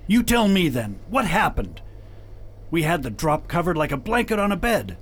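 A man as a blustering, high-voiced officer. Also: big pitch swings, normal speed. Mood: irritated, blustering. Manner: gruff, impatient, exclaiming. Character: blustering hot-tempered male patrol officer. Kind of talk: science fiction radio drama acting.

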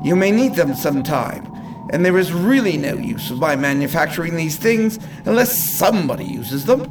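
A man's voice: on the high side, animated, moderate pace, far from the mic, noisy background. A man playing an eccentric, chatty inventor and salesman in a radio play, courteous and theatrically proud. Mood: eager. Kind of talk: amateur radio play acting.

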